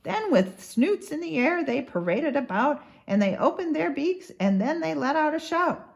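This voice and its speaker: Very animated woman reading a storybook. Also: high voice, normal speed, creaky. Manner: rhythmic, dramatic read-aloud. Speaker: doctor reading a children's book. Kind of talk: expressive storybook narration.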